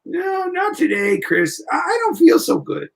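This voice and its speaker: A man acts a naive young fellow from a forties radio drama. He is good-hearted but glum, with a high voice that wobbles up and down freely. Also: huge pitch swings, measured pace, creaky, heavily acted. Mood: downcast. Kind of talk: 1940s radio acting.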